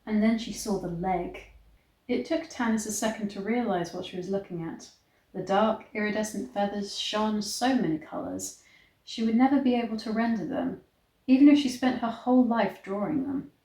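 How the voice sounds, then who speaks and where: A woman reading a romance story aloud in a dramatic, expressive way, stretching words for emphasis. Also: medium pitch, moderate pace. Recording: echoey, roomy sound.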